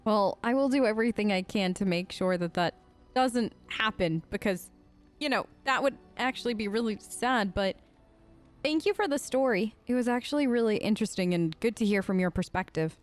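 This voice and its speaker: A woman's voice: mid-range voice, normal speed, soft background music. A woman streaming a game playthrough, improvising role-play in an informal, conversational way. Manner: conversational, informal, reacting in character.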